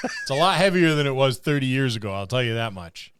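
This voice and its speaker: A man's voice: medium pitch, big pitch swings, fast talker, a bit bright, a little hiss or hum. A man co-hosting a podcast in casual banter, with a jokey, grumbling wryness. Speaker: podcast co-host. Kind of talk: casual banter among co-hosts.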